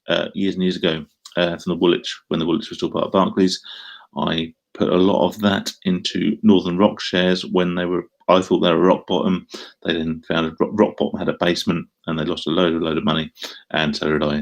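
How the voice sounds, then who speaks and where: Middle-aged Essex bloke, gravelly and laid-back, reading out chat and rambling through answers. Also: male voice, deep voice. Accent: Essex English. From Essex, England. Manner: rambling, self-deprecating, frequent ums and restarts. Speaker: live streamer answering chat. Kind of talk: casual live stream chat.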